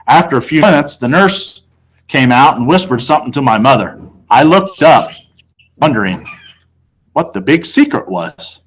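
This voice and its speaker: A man's voice: mid-range voice, expressive, moderate pace, slightly rough, poor audio. American man reading a children's story aloud. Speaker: teacher reading aloud to class. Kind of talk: audiobook-style read-aloud of children's novel, first person.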